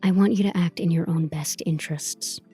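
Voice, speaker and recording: An actress playing a shrewd, cynical high-priced lawyer in an audio drama, with a firm, dry delivery. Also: female voice, fairly even intonation, normal speed, dark tone, husky, soft background music. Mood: coolly coaching. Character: shrewd, brisk, cynical, expensive female lawyer.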